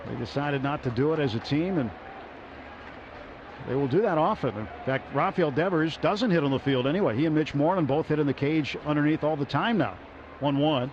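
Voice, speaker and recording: An American man doing live radio play-by-play commentary of a baseball game. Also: fairly high pitch. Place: stadium broadcast booth. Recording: dull radio broadcast, loud stadium crowd, noisy. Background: loud crowd and stadium noise.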